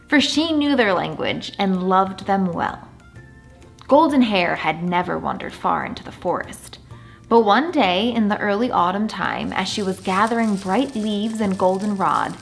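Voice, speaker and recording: A woman reading a children's storybook aloud in an animated, deliberate storytelling manner, drawing out words for emphasis. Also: medium pitch. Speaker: children's story reader and channel host.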